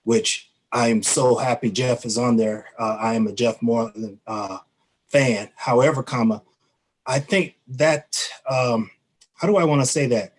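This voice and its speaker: A man's voice: medium pitch, a little slow. A man on a committee questioning a contractor at a public meeting in a blunt, candid way. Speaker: committee member.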